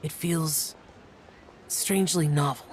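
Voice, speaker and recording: An actor playing a solemn, aloof young immortal warrior in a video game, speaking in a reserved, measured, brooding way. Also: male voice, on the high side, slight background noise. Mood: wistful, reserved. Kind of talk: video game cutscene acting. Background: background music.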